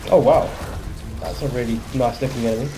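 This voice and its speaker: A man's voice: mid-range voice, steady tone, fairly quick, background noise. A man giving casual gameplay commentary as host of a gaming video. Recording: faint game music behind.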